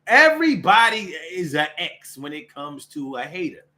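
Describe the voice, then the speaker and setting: American guy doing a casual opinionated rant, slangy, bright forceful voice kinda on the high side. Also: very animated, moderate pace. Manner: streetwise slangy talk, repeats phrases for emphasis. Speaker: solo show host.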